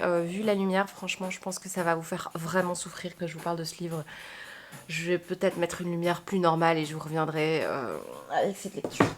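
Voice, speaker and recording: A woman book vlogger from Belgium chatting casually to camera in a self-deprecating way. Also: medium pitch.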